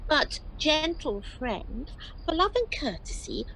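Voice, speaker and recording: An actress playing an affectionate, primly firm young maiden in a Shakespearean radio comedy. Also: female voice, sits high, big pitch swings, takes it slowly, gravelly, fairly bright tone, slight background noise, exaggerated voice, poor audio. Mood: fond, firm.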